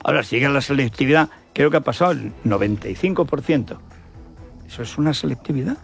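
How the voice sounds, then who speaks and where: A man's voice: mid-range voice, expressive, fast, vocal fry, slightly rough, faint music behind. An older man with an accent from Spain giving an opinionated, colloquial radio commentary, indignant and full of rhetorical questions. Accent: Spanish from Spain.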